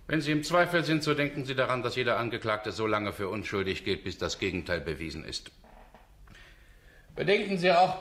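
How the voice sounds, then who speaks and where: A man's voice: mid-range voice, fairly bright tone, close to an everyday voice. Actor as echoing, solemn male judge. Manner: formal, measured. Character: sober, authoritative male judge instructing a jury. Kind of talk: radio drama courtroom speech. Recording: echoey courtroom-like room sound.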